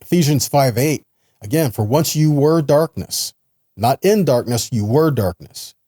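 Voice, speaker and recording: A man's voice: medium pitch, animated, measured pace, mellow, no room sound, studio quality. A man teaching a sermon in an earnest, explanatory way, reading scripture and stressing points with rhetorical questions. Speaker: preacher and Bible teacher.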